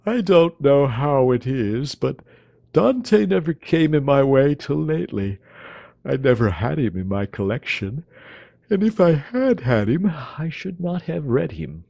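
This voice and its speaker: A man voicing a languid, lazy young gentleman who drawls and talks in an affected manner. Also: medium pitch, sing-song, measured pace, gravelly, dry recording, pitched above the performer's own voice, heavily acted.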